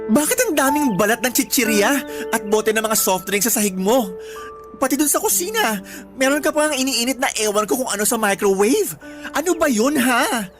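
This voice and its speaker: A woman's voice: fairly high pitch, animated, moderate pace, over music, lightly acted. An actress playing a blunt, exasperated young woman who scolds and questions her friend in a Filipino radio drama. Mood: nagging.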